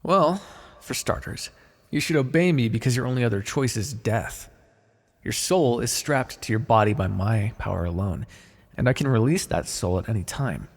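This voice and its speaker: A man playing a blunt, callous, wryly businesslike necromancer in an ASMR fantasy roleplay, muttering in a deadpan, gravelly voice. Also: medium pitch, normal speed. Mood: wry, matter-of-fact. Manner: deadpan, muttering to himself in thought. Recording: long reverberant hall echo.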